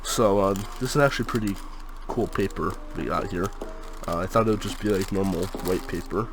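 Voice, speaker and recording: A young man with a very deep, congested voice, stuffed up from a cold. He rambles loosely through a sneaker unboxing at home, leaning on um and like as he goes. Music plays clearly behind him. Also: brisk. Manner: rambling, lots of fillers like um and like. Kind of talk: casual unboxing chat.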